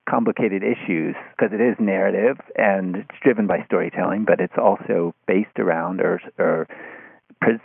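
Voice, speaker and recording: Guy interview guest, gravelly low voice, keeps restarting words as he thinks, sounds like hes on a phone. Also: no room sound. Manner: halting, repeats words, hedges as he thinks. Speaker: interview guest, magazine writer. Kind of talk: thoughtful interview conversation. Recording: thin, phone-like line.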